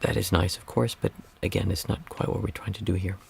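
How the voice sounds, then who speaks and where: A hoarse man with a raspy, worn voice, guiding a group meditation in a calm, unhurried way. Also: low voice, a little hiss or hum. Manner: calm, unhurried counting of breaths. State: hoarse, losing his voice. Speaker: meditation teacher.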